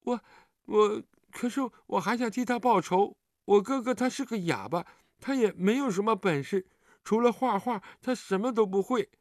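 Man voicing a small orphan boy, high-pitched, sulky and timid, halting plaintive speech, audiobook story character. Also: expressive, dry recording, clean studio sound. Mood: aggrieved, tearful.

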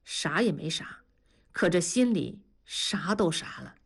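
A withdrawn middle-aged man speaks softly and slowly, worn out and lonely, as a woman narrator reads him. His lines are lowered and sparse, with a voice that still rises and falls in a lively way. An audiobook character. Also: gravelly, breathy edge, heavily acted. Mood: subdued. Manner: slow, subdued, soft-spoken.

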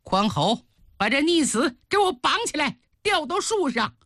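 A woman's voice: medium pitch, sing-song, normal speed, a bit bright, dry recording, clean studio sound. Woman acting a stern, hot-tempered noble mother. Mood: furious, commanding. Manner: commanding, interrogating.

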